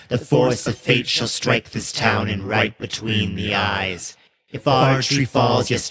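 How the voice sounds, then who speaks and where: Male reader doing a witch coven's rhymed chant, low and steady. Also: vocal fry, exaggerated voice, rough recording. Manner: rhythmic chanting, rhymed incantation.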